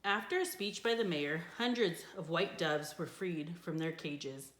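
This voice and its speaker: A woman reading a children's book aloud in an expressive storytelling style. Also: lowish voice, a little slow. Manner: expressive, with character voices for dialogue. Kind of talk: scripted storybook narration, read aloud. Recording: echoey room.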